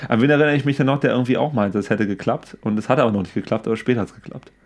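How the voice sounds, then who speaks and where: A man chats casually as a podcast co-host at a very quick pace. His voice sits at an ordinary pitch. He sounds enthusiastic and slangy, dropping English words in as he goes. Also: steady tone.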